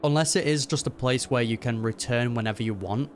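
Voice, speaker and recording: A man's voice: medium pitch, a little fast, a little hiss or hum. A man hosting a casual game reaction video, with slangy, wry commentary.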